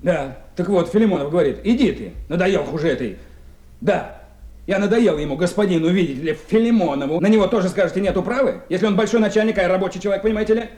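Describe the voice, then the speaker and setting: An actor playing an aggrieved, pompous working-class complainer who stammers and leans on officious filler phrases in a radio play. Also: male voice, sits high, fast, dark tone, lightly acted. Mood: aggrieved, self-important. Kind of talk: 1970s Soviet radio drama acting. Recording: roomy old radio drama recording.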